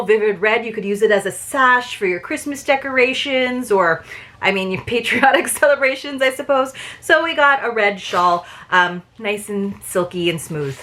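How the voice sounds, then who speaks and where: A woman's voice: on the high side, a bit bright. A woman chatting casually to viewers as she unboxes and reviews a book subscription box, laughing often.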